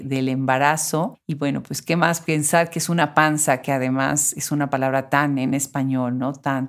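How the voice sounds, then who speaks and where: A woman hosting a podcast interview, talking in a thoughtful way with many fillers and tag questions. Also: low voice. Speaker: podcast host. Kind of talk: interview conversation.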